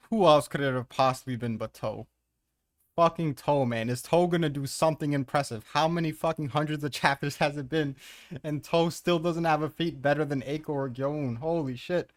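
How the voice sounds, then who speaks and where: A man giving casual, opinionated countdown commentary as host, blunt and exasperated, swearing freely as he rants. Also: a little high. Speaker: host of a manga ranking commentary.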